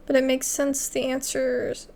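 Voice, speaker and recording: A woman's voice: sits high, monotone, a little slow, darkish tone, slight background noise. A woman gaming video creator giving casual reaction commentary over gameplay.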